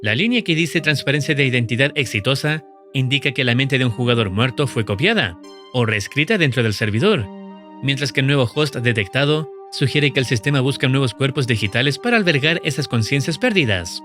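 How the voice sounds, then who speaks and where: A man narrating in a steady, measured scripted voice-over, explaining the plot of a horror video series. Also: mid-range voice. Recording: voice-over with faint music behind.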